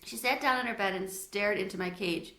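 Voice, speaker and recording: A woman narrating a children's story aloud in an expressive read-aloud style. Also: mid-range voice, fairly quick, echoey room, low-quality recording.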